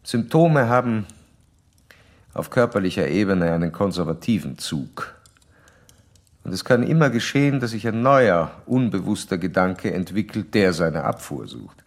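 An actor playing a self-assured psychoanalyst in a radio drama, speaking in measured, formal, didactic sentences. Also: male voice, sits low, expressive. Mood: clinical, probing. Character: male psychoanalyst doctor, clinical, probing, self-assured. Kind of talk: radio drama dialogue and letter monologue.

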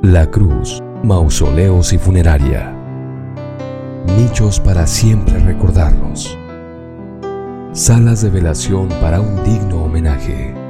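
Very deep Mexican man over guitar music. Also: slow pace, long pauses. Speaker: advert announcer. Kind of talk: scripted radio advert.

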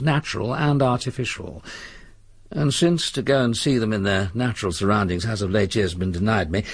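An actor playing a cultured, self-assured wealthy recluse in a radio drama, husky and philosophising. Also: male voice, medium pitch. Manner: urbane, measured phrasing, philosophising.

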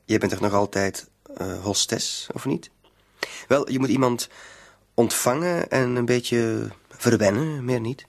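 Actor as creaky-voiced sly small-time crook. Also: male voice, medium pitch, a little slow, lightly acted. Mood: coaxing, evasive. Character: sly shady small-time crook, coaxing, quietly threatening. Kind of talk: Dutch-language radio play acting.

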